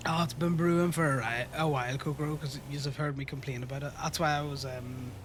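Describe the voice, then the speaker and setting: A man's voice: on the high side, steady tone, fairly quick. A man with an Irish accent talks into his desk mic while fighting off a cold, all sniffles and a tickly cough. He chats casually and pokes fun at himself. Faint noise sits under his voice. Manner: chatty, self-mocking, wry. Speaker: streamer. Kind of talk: casual livestream chat. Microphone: desk microphone.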